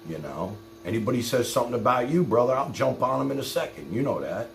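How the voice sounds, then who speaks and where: A man's voice: mid-range voice, lively intonation, fast, vocal fry. Guy hosting a stream, casual street talk, echoey room, some hiss. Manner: colloquial, streetwise, direct address. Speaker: live stream show host. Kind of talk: casual live stream chat, taking calls. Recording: roomy, noisy live stream.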